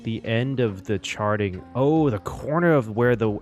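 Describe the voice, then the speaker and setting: A man giving excitable live reaction commentary as a streamer, exclaiming and thinking out loud. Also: mid-range voice, unhurried. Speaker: streamer reacting to a game story. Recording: game music behind. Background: game soundtrack and dialogue.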